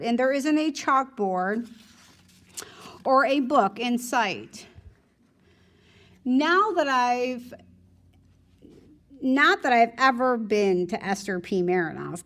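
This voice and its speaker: Casting: female teacher, slightly high voice, expressive read-aloud storytelling, conversational delivery. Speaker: teacher reading a novel aloud to students.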